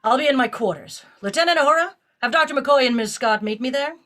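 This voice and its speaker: An actress playing an authoritative, guarded female starship captain in an audio drama, giving crisp, imperious orders. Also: high-pitched, fast, fairly bright tone. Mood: brisk, guarded.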